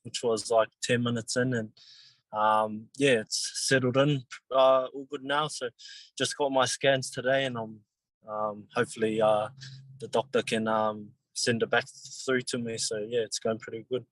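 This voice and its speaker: Man, twenties, new zealand accent, flat pitch, dry sound, hesitant casual answers. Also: dry recording, poor audio. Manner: halting, many ums and restarts. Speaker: rugby league player, guest.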